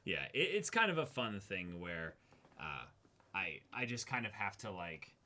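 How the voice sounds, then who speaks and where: A man talking casually as a co-host in a relaxed back-and-forth discussion. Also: low voice, unhurried. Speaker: co-host of an anime reaction discussion.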